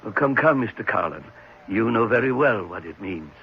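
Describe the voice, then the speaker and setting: Deep-voiced, thoughtful radio-drama doctor. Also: male voice, lively intonation, breathy edge. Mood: gently assured. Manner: hesitant, reflective, measured explaining. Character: thoughtful, assured male psychiatrist at a private clinic. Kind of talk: 1970s radio drama acting. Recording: muffled, noisy 1970s radio recording.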